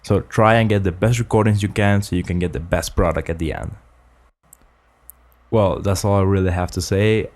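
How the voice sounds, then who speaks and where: Young man, earnest home-studio tutorial, low voice, close condenser mic. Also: steady tone, darkish tone. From Ecuador. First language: Spanish. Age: young adult. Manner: earnest, explanatory, slightly halting English. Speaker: student making a tutorial. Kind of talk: casual spoken tutorial. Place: small home studio room, indoors. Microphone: large-diaphragm condenser with pop filter, close.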